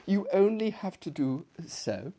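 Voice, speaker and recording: A man's voice: high-pitched, sing-song, measured pace, mellow, heavily acted. A man voicing a coy, earnest, sweetly mad young lady in a story reading. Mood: coy, eager.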